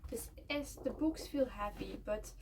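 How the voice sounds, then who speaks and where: A young woman from Belgium, a native Dutch speaker, chatting casually at home about books she is selling, pausing often with fillers and restarts. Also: mid-range voice. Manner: halting, many fillers and restarts. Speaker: book vlogger. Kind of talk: casual book unhaul chat. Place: at home, seated. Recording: somewhat distant mic, faint room noise.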